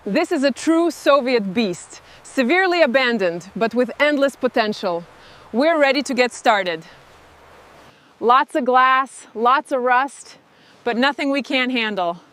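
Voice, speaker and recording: A woman's voice: sits high, animated, a little slow, fairly bright tone. A woman hosting a vehicle restoration video, speaking short scripted commentary on the work as it goes.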